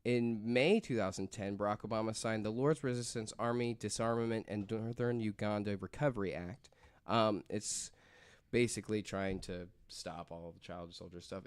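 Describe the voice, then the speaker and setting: A man's voice: lowish voice, clean tone, dry recording. A man presenting a story on a casual podcast, reading from notes and rambling through asides peppered with "like".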